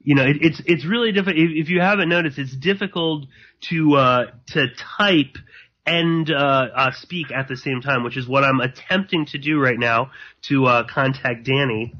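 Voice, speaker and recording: A man hosting live radio alone, rambling and halting with many fillers, a slight sore throat in his voice. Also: on the high side, pushed and bright. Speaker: podcast host. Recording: dull lo-fi.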